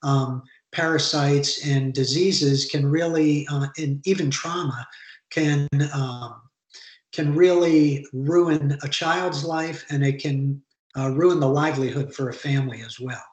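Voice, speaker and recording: A man describing his charity projects on a video call panel, earnest and halting with fillers. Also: fairly high pitch, fairly even intonation, reverberant, rough recording. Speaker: charity founder, alumni panelist.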